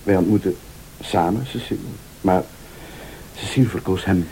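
Low, mellow, insinuating night visitor. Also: male voice, normal speed, a little hiss or hum, rough recording. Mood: grave, confessional. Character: mysterious late-night male visitor, composed, insinuating, quietly menacing. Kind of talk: Flemish radio thriller acting.

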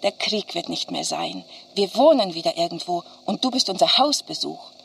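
A woman's voice: on the low side, huge pitch swings, normal speed, vocal fry, fairly bright tone, slight background noise, exaggerated voice. An actress playing an affectionate woman friend reading out her wartime letter, tender and hopeful, in a radio drama.

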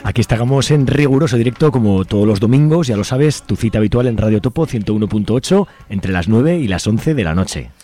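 A man's voice: medium pitch, brisk. A man with an accent from Spain hosting a live community radio show informally, chatting directly to listeners. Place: radio studio. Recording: music playing behind the voice. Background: lively music.

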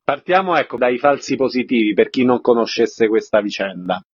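A man's voice: medium pitch, lively intonation, normal speed, breathy edge. A man hosting a radio interview, putting concise questions and introductions to guests. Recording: dull, lo-fi radio sound.

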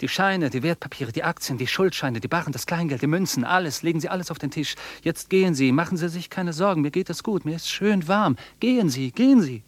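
A man's voice: on the high side, expressive, fairly quick, few pauses, dry recording, natural voice. An actor playing an obsessive, defiant, imperious rich man in a German radio drama, declaiming and repeating his commands. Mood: feverish, urgent.

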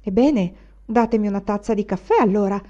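A woman voicing an eager, excitable young male student in an audiobook. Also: mid-range voice, brisk, close to an everyday voice. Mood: elated. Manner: exuberant, boastful. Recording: dull lo-fi recording.

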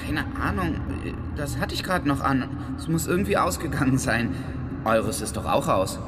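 A man's voice: medium pitch, animated, moderate pace, background noise, lightly acted. An actor playing an edgy, sarcastic young man lost with his friends, colloquial and flippant, in a naturalistic radio drama.

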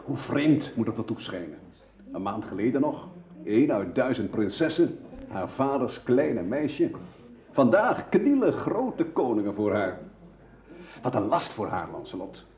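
An actor playing a sceptical courtier in a radio play, speaking in a wry, reflective way. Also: male voice, mid-range voice, very animated, unhurried. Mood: wry, musing. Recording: roomy, dull old radio drama recording.

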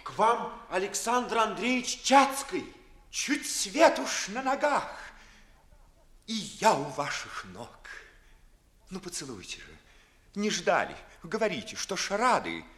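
An actor playing an ardent, witty young gentleman in a classical verse comedy for audio, declaiming theatrically and with mockery. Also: high-pitched, full character voice. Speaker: stage actor.

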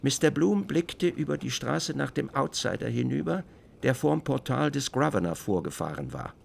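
A man narrating a literary radio drama from a script, with expressive, descriptive storytelling. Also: medium pitch, moderate pace, creaky, a little hiss or hum.

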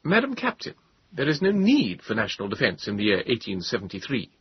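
A flamboyant, excitable young gentleman, voiced by a man reading a novel. He speaks theatrically, with a touch of hysteria. The husky voice sounds dry and somewhat dull. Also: lowish voice, brisk, no echo, exaggerated voice.

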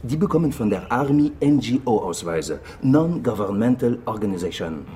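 A man's voice: mid-range voice, big pitch swings, unhurried, mellow, slight background noise. A man playing a wary, matter-of-fact seasoned correspondent in a radio drama. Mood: warning.

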